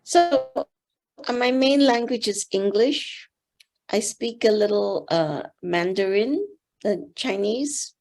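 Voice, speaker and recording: Slow, gentle older woman. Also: fairly high pitch, expressive. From Singapore. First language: Teochew. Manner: gentle, thoughtful, pauses with soft fillers. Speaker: interview guest, board member. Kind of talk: reflective interview answers.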